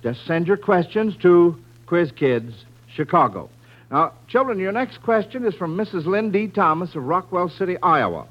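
Man, American, old-time radio quiz host, high-pitched, folksy and chatty, muffled vintage sound. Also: lively intonation, moderate pace. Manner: folksy, chatty, addressing children and listeners as friends.

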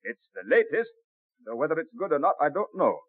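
A tinny, dry old radio recording carries an affable bookseller of colonial days. His voice is husky and moves up and down freely as he talks in a measured, bookish way. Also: on the high side, big pitch swings, dry recording. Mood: helpful, measured. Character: friendly colonial Philadelphia bookseller, man, measured and helpful. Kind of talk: old-time radio drama acting.

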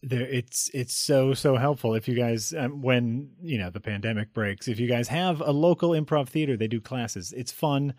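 A man co-hosting a casual chat, talking in an animated way that trails off and restarts often. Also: medium pitch, moderate pace, few pauses, no echo, clean studio sound. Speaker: streamer and co-host.

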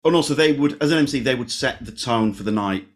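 A man's voice: medium pitch, fast talker. Playful male podcast host. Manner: playful, jokey questions. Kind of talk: casual podcast interview.

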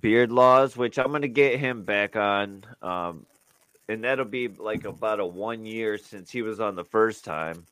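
A man's voice: lowish voice, unhurried, fairly bright tone, smooth, no echo, low-quality recording. A man co-hosting a podcast, chatting casually and rambling with lots of fillers and restarts. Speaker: podcast co-host. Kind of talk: casual chat.

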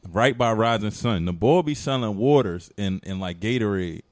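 A man's voice: lowish voice, lively intonation, normal speed, no room sound, studio quality. A man co-hosting a podcast, arguing casually in slangy, insistent talk. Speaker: podcast co-host. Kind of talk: casual group debate.

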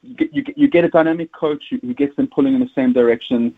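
A man's voice: medium pitch, sing-song, vocal fry. South African man on a tinny phone line. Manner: halting, many repeated words and fillers. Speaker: rugby pundit guest. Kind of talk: casual interview chat.

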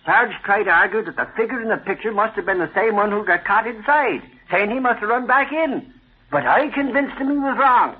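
Brash, high-pitched old radio tough guy. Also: lively intonation, a little fast, slightly rough. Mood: matter-of-fact. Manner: blustery, slangy, exclamatory. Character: brash, excitable newspaper tough guy, loud and cocky. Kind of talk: old-time radio drama acting. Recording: thin, tinny vintage radio recording.

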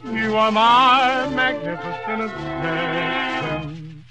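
A man croons a slow, smooth love ballad at a very unhurried pace. A loud dance band plays behind him throughout. It comes from an old radio broadcast recording. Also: high-pitched, over loud music.

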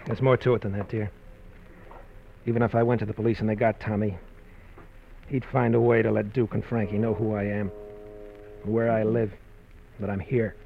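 An actor playing an anxious, cornered husband with a shady past in a 1940s radio drama, earnest and urgent, on a muffled old recording. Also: male voice, fairly low pitch, little pitch movement, moderate pace, vocal fry, long pauses. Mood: grave, tender.

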